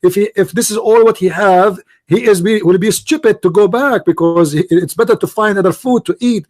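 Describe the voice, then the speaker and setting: A man with an Arabic accent argues in a heated debate, his voice sitting high. He is insistent and mocking, repeating the same question again and again to press his point. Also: fairly quick, darkish tone. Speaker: host.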